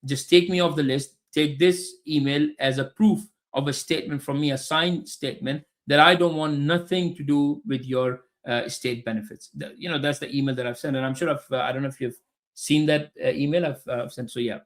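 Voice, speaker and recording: A man from Pakistan telling his own story as a podcast guest, halting and repeating himself. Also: on the high side, fairly even intonation. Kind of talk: conversational personal account.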